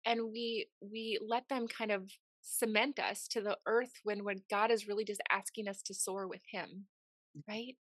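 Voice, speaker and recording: A woman's voice: medium pitch, steady tone, unhurried, a bit bright, no echo. A woman hosting a faith-based podcast, enthusiastic and fond of telling stories. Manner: enthusiastic, storytelling. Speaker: podcast host.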